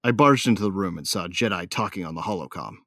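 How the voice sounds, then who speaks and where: A man's voice: deep voice, lively intonation, fast talker, a bit bright, dry recording, studio quality. A man playing a cocky, hot-tempered bounty hunter, narrating his exploits in a swaggering, punchy, colloquial style in an audio drama. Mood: tense, angry.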